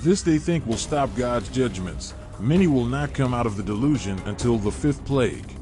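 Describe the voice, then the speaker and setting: A man's voice: mid-range voice, expressive, moderate pace, music in the background. A man delivering scripted religious narration in a preachy, denunciatory manner, often posing rhetorical questions.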